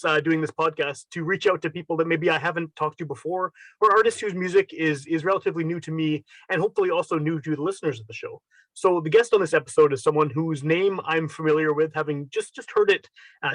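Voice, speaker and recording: A man's voice: a little high, a bit bright, no echo, low-quality recording. A man hosting a podcast interview, asking friendly questions with easy laughs. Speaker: podcast host. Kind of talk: casual interview.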